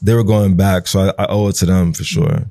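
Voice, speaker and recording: Soft-toned Nigerian-born man reflecting. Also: sits low, steady tone, a little fast, creaky. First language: Igbo. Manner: reflective, loose, full of "like" and "you know". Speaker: guest. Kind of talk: conversational interview.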